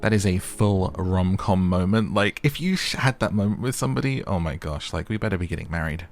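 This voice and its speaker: A man's voice: sits low, expressive, a little fast, vocal fry, soft background music. A man giving a casual, chatty recap commentary of a reality show, with wry asides. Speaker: host of a recap channel.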